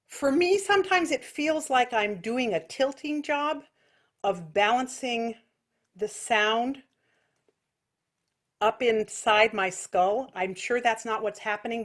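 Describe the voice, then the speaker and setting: Lively, higher-voiced woman teaching singing. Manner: explains with vivid imagery and sung examples. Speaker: vocal technique teacher. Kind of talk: informal singing lesson with demonstrations.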